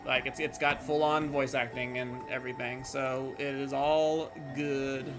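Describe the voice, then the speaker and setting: A man's voice: medium pitch, flat delivery, measured pace. A man livestreaming a game, chatting casually in an informal, slangy, sweary way. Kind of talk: casual game stream chat. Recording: music playing behind the voice. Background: game music.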